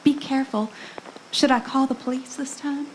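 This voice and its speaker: A woman's voice: high voice, fairly even intonation, moderate pace, lightly acted, poor audio. A woman acting an affectionate, easily unnerved young wife in an amateur audio drama, speaking casually and conversationally. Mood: weary, worried. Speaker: actor in an amateur radio drama. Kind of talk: naturalistic audio drama dialogue. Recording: noticeable background noise, amateur recording.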